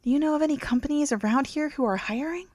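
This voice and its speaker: Desperate, flustered young female job seeker, voiced by a woman narrator. Higher pitch, hesitant, blurts her lines, romance audiobook. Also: fast, darkish tone, dry recording, clean studio sound, natural voice. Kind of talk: audiobook character dialogue.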